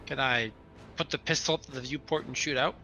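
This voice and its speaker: A man's voice: mid-range voice, fairly even intonation, brisk, sharp tone. A man chatting casually as a player in a tabletop roleplaying game. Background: background music.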